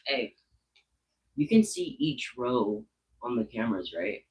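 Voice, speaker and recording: A man quietly and hurriedly reporting a threat, sounding worried. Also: medium pitch, wildly swooping pitch, measured pace, husky. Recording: faint voice, far from the mic.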